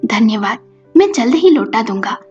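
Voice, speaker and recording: A woman's voice: fairly high pitch, sing-song, normal speed, darkish tone. A woman storyteller voicing an earnest, worried and polite adult son in a family story. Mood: grateful. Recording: muffled recording with music behind.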